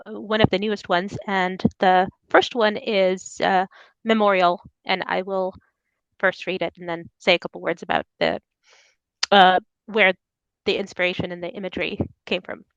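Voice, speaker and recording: A woman's voice: mid-range voice, no room sound, dull audio. A woman from Soviet Ukraine speaking as a poet at a reading, presenting her own work. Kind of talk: poetry reading with informal introductions.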